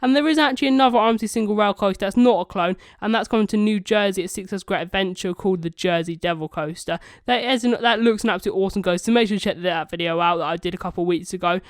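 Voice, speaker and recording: A man's voice: sits high, fast, few pauses, clear, resonant tone, no room sound, clean studio sound. A young man giving casual, enthusiastic, rambling commentary as the host of a video channel. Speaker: YouTube vlogger, channel host.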